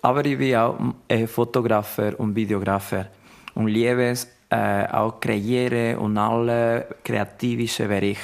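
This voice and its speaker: A man's voice: on the low side, steady tone, measured pace, creaky. Ecuadorian man speaking German. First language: Spanish. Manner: non-native German with grammar slips. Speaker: interviewed musician. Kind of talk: recorded interview clip.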